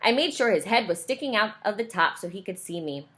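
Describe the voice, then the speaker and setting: A woman's voice: mid-range voice, expressive, fairly quick, fairly bright tone. A woman teacher reading a picture book aloud to young children, explaining and asking questions along the way.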